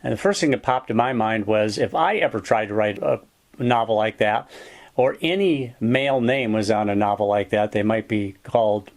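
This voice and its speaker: A man's voice: fairly low pitch, normal speed. A man from upstate New York hosting a podcast interview, chatty and lightly joking. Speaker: podcast host.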